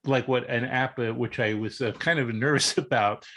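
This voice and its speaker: A man's voice: medium pitch, steady tone, normal speed, fairly bright tone. A middle-aged man hosts an interview in a mid-range voice. He asks long questions and often restarts his sentences partway through. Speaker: interview host. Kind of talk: casual interview.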